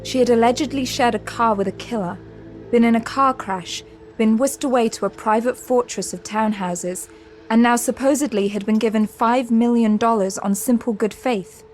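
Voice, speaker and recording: A woman's voice: on the high side, normal speed, darkish tone, soft background music. A woman narrator reading dramatic scripted story narration for an audio drama.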